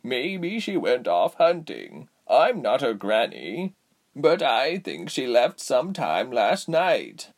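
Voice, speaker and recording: A man voicing a weary, grumbling but caring old woman with a raspy voice in a storybook reading. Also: medium pitch, huge pitch swings, slow, voice pushed up, exaggerated voice. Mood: grumbling, defensive.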